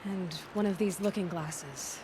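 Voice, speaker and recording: An actress playing an inquisitive young huntress in a video game, speaking in a direct, matter-of-fact, slightly dry way. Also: female voice, fairly low pitch, a little slow, background noise, close to an everyday voice. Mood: dry, practical. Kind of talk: naturalistic video game dialogue.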